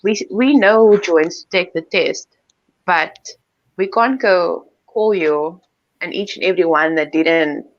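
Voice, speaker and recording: A South African woman whose first language is Afrikaans, explaining school policy in a relaxed, conversational way during a live online Q&A. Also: on the low side, unhurried, no echo, lo-fi sound. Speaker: school staff member.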